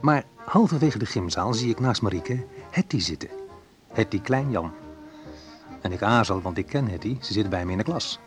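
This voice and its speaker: A wistful man looking back on his awkward youth in a radio play. His voice sits fairly low, and he talks in a hesitant, reflective, conversational way, as if confiding. Music plays faintly behind him. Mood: tense recollection. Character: wistful man recalling awkward teenage love at dance class. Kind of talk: intimate first-person radio play monologue.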